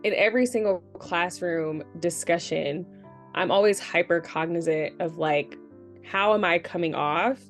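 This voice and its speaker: A woman's voice: on the low side, unhurried, soft background music. Reflective woman from Cleveland. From Cleveland, Ohio. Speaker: policy advocate.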